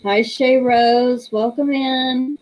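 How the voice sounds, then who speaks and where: A woman's voice: sits high, steady tone, slow, a little mellow, rough recording. A woman, hosting a casual livestream, chatty and laughing often as she reads viewer comments aloud. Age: 30s. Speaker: livestream host.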